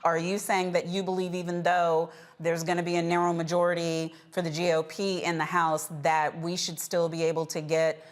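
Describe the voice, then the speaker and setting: Woman, panel show host. Slightly low voice, informal and emphatic delivery. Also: little pitch movement, normal speed, few pauses. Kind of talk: news panel hosting, conversational.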